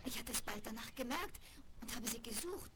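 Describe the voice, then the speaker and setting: A woman playing a warm-hearted, superstitious young circus girl in a 1970s German children's radio play. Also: a little high, lively intonation, fairly quick, natural voice. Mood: storytelling. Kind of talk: 1970s German children's audio drama acting. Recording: very noisy vinyl record transfer, clicks.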